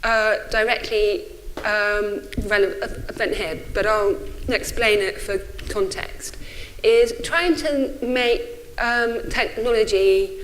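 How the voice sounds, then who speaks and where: A woman's voice: mid-range voice, slight background noise, rough recording. A woman in her forties with a stutter, giving an informal, hesitant and self-effacing symposium talk. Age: 40s. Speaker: speaker, runs a think tank.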